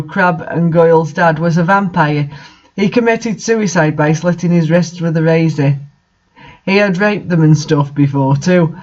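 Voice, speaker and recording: A man reading bad fan fiction aloud and commenting on it in a mocking, incredulous way. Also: fairly high pitch. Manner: incredulous, exasperated asides. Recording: dull lo-fi recording.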